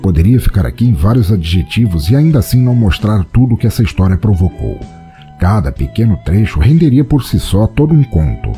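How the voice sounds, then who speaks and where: A man's voice: fairly low pitch, big pitch swings, fairly quick, warm tone, soft background music. A Brazilian man hosting a podcast, reading scripted narration and listener feedback in a theatrical, playful, informal manner. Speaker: podcast host.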